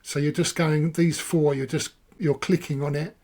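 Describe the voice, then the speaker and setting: A man talks casually through a software demo, his voice hoarse after long use. He rambles and corrects himself as he goes, thinking aloud while clicking. His voice sits a touch on the high side. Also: fairly even intonation, moderate pace. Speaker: software reviewer. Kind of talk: casual screen-recorded walkthrough.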